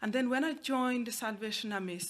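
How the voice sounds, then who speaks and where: A woman's voice: a little high, fairly quick. A middle-aged woman with a Ugandan accent, explaining her work as an interview guest. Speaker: guest, anti-trafficking worker.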